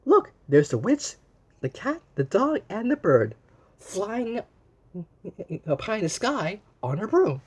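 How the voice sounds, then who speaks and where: Very slow, husky male storyteller. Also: high-pitched. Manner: animated, exclaiming, pausing to point things out. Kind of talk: read-aloud of a children's picture book.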